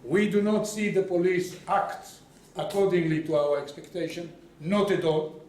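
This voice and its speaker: A man's voice: sits high, moderate pace, a little mellow. A man giving a statement to the news as the chairperson of an organization. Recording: echoey room, far from mic.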